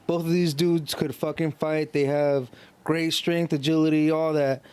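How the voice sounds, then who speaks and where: A man's voice: fairly high pitch, level tone, unhurried, darkish tone, clear, resonant tone. A man co-hosting a podcast in casual, slangy conversation with plenty of swearing. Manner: slangy, profane. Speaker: podcast co-host. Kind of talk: casual chat.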